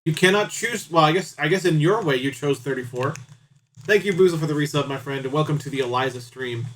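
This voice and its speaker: A man's voice: a little high, a little fast, fairly bright tone, low-quality recording. A man doing casual live game commentary, chatty and playful as he talks to his viewers. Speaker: live streamer.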